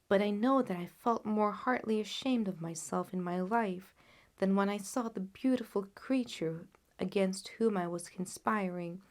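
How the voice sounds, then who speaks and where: Expressive woman reading a classic story. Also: mid-range voice. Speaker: storyteller, audiobook reader. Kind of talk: scripted literary narration.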